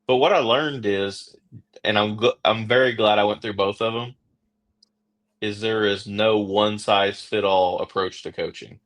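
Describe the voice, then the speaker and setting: A man's voice: on the low side, fairly even intonation, measured pace, fairly bright tone. A man with an Oklahoma accent hosting a podcast, chatting casually and rambling with many fillers and restarts. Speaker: podcast host. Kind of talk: casual interview chat.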